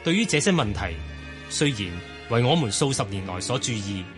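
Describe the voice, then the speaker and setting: A man reads an old declaration aloud in a solemn, declamatory voice. His pitch rises and falls a lot as he stresses each phrase. Clear music plays underneath. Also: big pitch swings, normal speed. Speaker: reader of a historical text. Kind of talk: formal declamatory reading.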